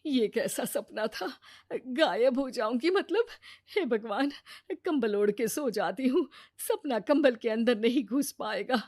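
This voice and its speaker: Woman playing a slow, lively elderly mother-in-law. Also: fairly high pitch. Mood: puzzled, uneasy. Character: kindly elderly mother-in-law, curious and secretly mischievous. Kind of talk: animated moral story dialogue.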